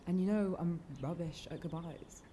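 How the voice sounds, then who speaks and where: A woman's voice: lowish voice, fairly even intonation, moderate pace, dark tone, slight background noise, natural voice. A woman playing a guarded, unsentimental young woman in a naturalistic radio play, speaking in an offhand, colloquial way. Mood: defensive.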